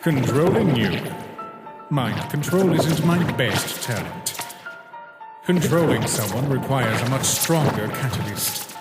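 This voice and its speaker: A man playing a calculating male schemer with a deliberate, persuasive, theatrical manner in a comic dub. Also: mid-range voice, animated. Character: manipulative male schemer urging a warrior, grave and calculating. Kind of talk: dramatic comic dub voice acting. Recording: echoey reverberant sound under loud music.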